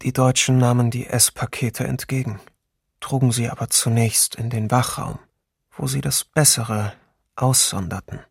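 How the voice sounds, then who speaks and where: A sober wartime eyewitness for a documentary radio play. His voice is husky and creaky, recorded very dry, and he narrates in a measured, grave way. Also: medium pitch, fairly even intonation, dry recording. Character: male eyewitness soberly recounting wartime camp atrocities.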